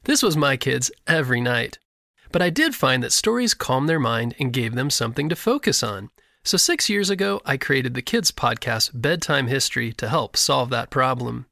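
Bright, creaky-voiced man reading a promo. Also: medium pitch, lively intonation, moderate pace, no echo, clean studio sound. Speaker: kids' podcast creator promoting his show. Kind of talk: scripted podcast promo read.

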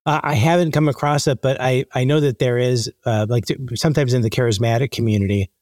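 A man being interviewed, speaking conversationally and haltingly as he thinks aloud with repeated false starts. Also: mid-range voice, steady tone, a little mellow, no echo, clean studio sound. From born in the Dominican Republic. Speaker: interview guest, magazine editor.

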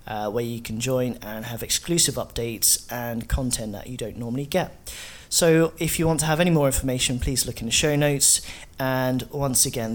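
A man hosting a podcast, introducing and interviewing in a casual conversational way. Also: mid-range voice.

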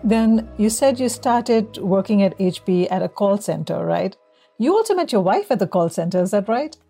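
A woman with a warm, mellow voice hosts an interview. She asks prompting questions that recap what her guest has said before. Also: medium pitch, animated, moderate pace, creaky, husky, faint music behind.

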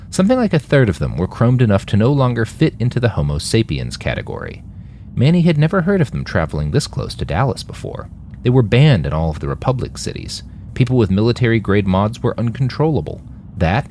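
A man's voice: lowish voice, a little mellow, slight background noise. A man narrating scripted fiction as an audiobook-style story. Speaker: author and narrator.

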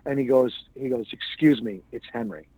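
A male comedian from New England tells anecdotes in an easy, conversational way. His voice is mellow and warm, and the recording sounds dry and close to the mic. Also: mid-range voice, normal speed, slightly rough, no echo, rough recording. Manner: chatty anecdotal storyteller. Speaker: stand-up comedian and guest. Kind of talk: casual storytelling interview.

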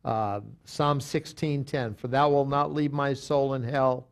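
A man's voice: mid-range voice, fairly even intonation, a little slow, smooth. A man teaching a Bible study as a pastor, talking with frequent restarts and fillers and reading scripture aloud.

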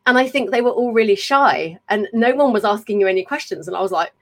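A woman, hosting a casual chat over a video call, talkative and quick to laugh and swear. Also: mid-range voice, animated. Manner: chatty, swears freely, laughs often. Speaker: podcast host. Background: faint fan hum.

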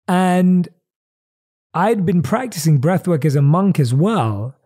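A man giving a motivational talk, telling a reflective story and slowing down and stretching words to stress them. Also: high-pitched, expressive, warm tone. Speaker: host.

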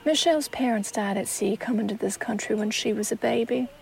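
A 1920s woman in an audio drama. Her voice sits a little high and breaks into creak, and she stays poised and guarded, polite yet curt, with defiance close by. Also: a little fast, a little mellow, low-quality recording. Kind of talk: period audio drama acting.

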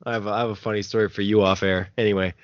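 A man's voice: on the low side, little pitch movement, rapid-fire, no echo, muffled recording. A man in his early twenties with an American accent hosting a casual podcast in a playful, slangy way. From Arizona, United States. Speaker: podcast host.

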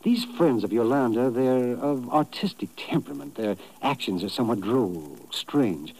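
A man's voice: medium pitch, expressive, normal speed, a little mellow, a little hiss or hum, close to an everyday voice, poor audio. An actor playing a worried, polite immigrant businessman in a 1950s radio drama, speaking formally with hesitant stammers. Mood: earnest, cautious. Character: anxious, polite immigrant businessman, victim of extortion.

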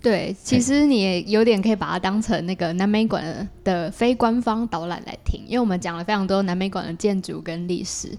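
A woman's voice: medium pitch, brisk, hardly any pauses, a little mellow. A woman with a Taiwanese Mandarin accent, a guest explaining things in a casual conversational way. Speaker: guest, artist presenting own work.

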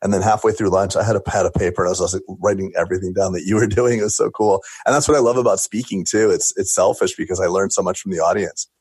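A man's voice: sits low, steady tone, very fast, hardly any pauses, a little mellow, breathy edge, no room sound. A man in his forties, a talkative dentist guest chatting in a casual interview, reflective and joking as he answers. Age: 40s.

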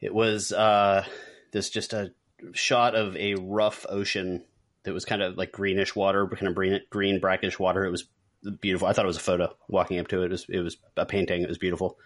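A man's voice: deep voice, little pitch movement, fairly quick. A man co-hosting a podcast, chatting casually. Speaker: podcast co-host. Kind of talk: casual chat.

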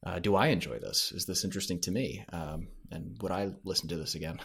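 A man's voice: sits low, brisk, creaky, a bit bright, husky. A man talking casually as a podcast guest, hesitant and thinking aloud as he answers. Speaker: podcast creator, interview guest. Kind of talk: casual interview conversation.

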